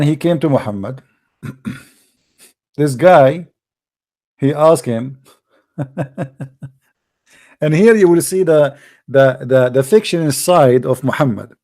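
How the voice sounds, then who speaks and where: A man's voice: fairly high pitch, expressive, takes it slowly, pauses often, a little mellow. A man hosting a live debate stream, lecturing in an emphatic, argumentative way. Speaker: livestream debate host. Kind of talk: lecturing religious debate talk.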